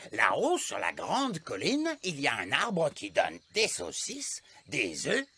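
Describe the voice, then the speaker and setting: A crafty older elf in a children's cartoon. The voice is bright and swoops dramatically, coaxing and insistent. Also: male voice, a little high, wildly swooping pitch, slow, vocal fry, breathy edge, full character voice. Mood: scheming. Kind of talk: animated children's cartoon acting.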